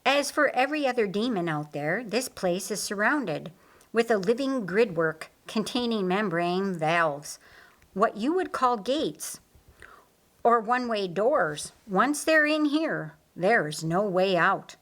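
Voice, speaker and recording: A woman's voice: mid-range voice, animated, unhurried, a bit bright. Woman reading a firm, earnest archangel commander. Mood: firm, explanatory. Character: Michael, authoritative loyal male archangel commander. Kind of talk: audiobook character acting.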